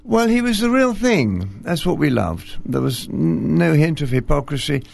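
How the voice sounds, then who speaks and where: Older clergyman guest, heavy vocal fry, gentle and self-deprecating, studio interview. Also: fairly high pitch, big pitch swings, moderate pace. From Scotland. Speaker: retired bishop, guest. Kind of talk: relaxed interview conversation. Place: television studio.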